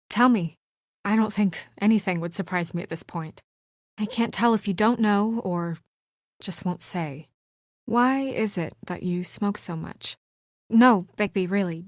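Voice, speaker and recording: A woman playing an earnest, weary and conscientious young administrator in a video game, speaking in a measured, serious, sometimes hesitant way. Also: mid-range voice, dry recording, studio quality, lightly acted. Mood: curious, guarded. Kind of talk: naturalistic video game voice acting.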